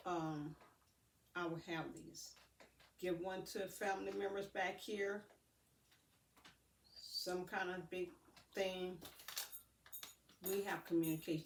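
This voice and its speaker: A woman giving a casual, halting unboxing chat to her viewers, addressing them in a familiar, homely way as a vlogger. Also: fairly low pitch. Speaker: vlogger showing purchases. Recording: roomy echo, distant mic, faint noise.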